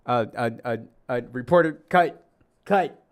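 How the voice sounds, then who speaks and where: A man livestreaming and chatting loosely with his viewers, loud, profane and jokey, saying he is losing his voice. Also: mid-range voice, a little slow, clean tone. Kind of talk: casual stream chat to viewers.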